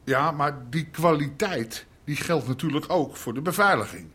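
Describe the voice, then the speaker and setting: A self-made nightlife boss in a radio play. His voice sits fairly high for a man and sounds husky. He talks plainly and emphatically, with folksy bluntness. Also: animated, normal speed, bright, forceful tone, exaggerated voice. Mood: blunt, self-assured. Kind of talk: naturalistic audio drama acting.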